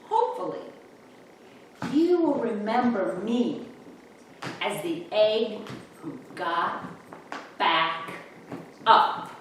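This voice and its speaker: Female children's storybook reader: very slow, expressive, deliberate. Heavily reverberant room, distant mic. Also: mid-range voice. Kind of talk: children's picture book read-aloud. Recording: echoey, distant mic, faint room noise.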